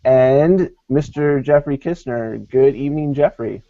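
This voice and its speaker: A man's voice: medium pitch, measured pace, no echo. A man hosting a casual group video call, easygoing as he moves the introductions along. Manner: easygoing, moderating the talk. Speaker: host of the online hangout. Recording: slightly dull call audio.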